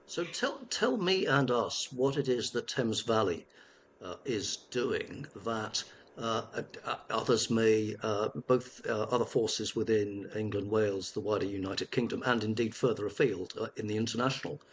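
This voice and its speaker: A male interviewer with a fairly low voice asks long, formal questions, halting often and searching for words. He sounds courteous and deliberate, pausing between phrases. Faint noise sits under his voice. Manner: halting, formal, many fillers and restarts.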